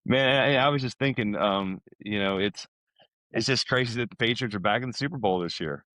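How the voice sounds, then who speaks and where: An American man in middle age talks casually on a podcast. His voice sits on the low side and the sound is dry, with little room in it. He speaks informally, like a buddy catching up. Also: a little fast, a bit bright, dry recording. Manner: informal, buddy-like, peppers talk with "man" and "dude".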